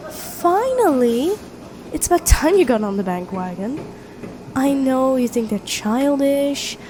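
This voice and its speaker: A woman's voice: high-pitched, background noise. A woman playing a friendly, teasing young best friend with a secret crush in an intimate ASMR roleplay. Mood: playfully curious. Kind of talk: intimate ASMR roleplay acting, one side of a conversation.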